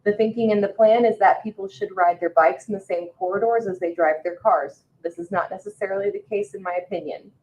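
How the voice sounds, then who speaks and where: A woman's voice: medium pitch, fairly quick, few pauses, darkish tone. An American woman reading a written public comment aloud at a town meeting. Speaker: town meeting staff member. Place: indoor public meeting room. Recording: dull, muffled meeting-room audio.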